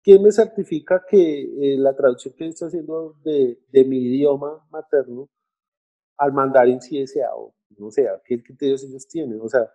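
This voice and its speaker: A man, co-hosting a casual talk about language teaching, halting with restarts and fillers but getting lively when he argues. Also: medium pitch, dull audio. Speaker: co-host discussing language teaching. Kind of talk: casual podcast chat.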